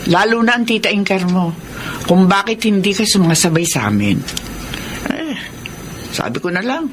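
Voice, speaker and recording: A woman acting a middle-aged Filipina mother in a radio drama, caring and nosy. Her mid-pitched voice swoops widely as she exclaims and advises, on a noisy recording. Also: sing-song, normal speed, natural voice. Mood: concerned, motherly.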